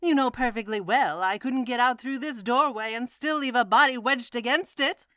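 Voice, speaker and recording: Woman playing bright, feisty American miss. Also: fairly high pitch, dry recording, studio quality, close to an everyday voice. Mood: tart, defiant. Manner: brisk, tart, defensive. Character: feisty, indignant, unflappable American single woman. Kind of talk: old-time radio mystery acting.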